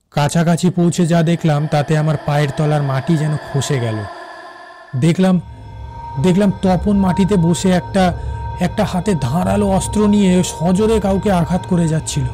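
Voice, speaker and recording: A railway officer played by a man tells his own ghost story for a horror cartoon. His fairly high voice is suspenseful and halting, repeating words for dramatic effect. Music runs clearly behind him. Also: natural voice.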